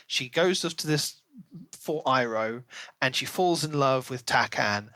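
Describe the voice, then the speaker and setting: A man co-hosting a casual book podcast, giving opinions in an animated, emphatic way with sarcastic asides. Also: medium pitch, no room sound, low-quality recording. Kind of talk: casual book review chat.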